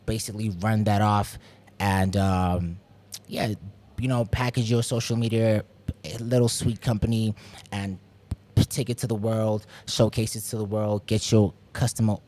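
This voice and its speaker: Young south african dude, lowish voice, chill, explaining stuff. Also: male voice, flat delivery, normal speed, darkish tone. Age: 20s. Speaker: agency founder guest. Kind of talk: casual interview talk.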